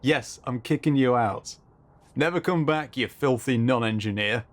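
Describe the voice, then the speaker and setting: A man's voice: medium pitch, moderate pace, lightly acted. A man playing a blunt, playful mechanic who runs a shop, talking in a casual, sardonic way in an audio roleplay. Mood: mock stern. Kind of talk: ASMR roleplay audio drama acting. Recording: clear background ambience noise.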